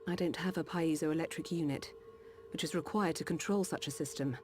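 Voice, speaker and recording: An actress playing a calm, dutiful village doctor of a machine race in a video game cutscene, over music. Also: female voice, deep voice, fairly quick, lightly acted. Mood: steady, reassuring.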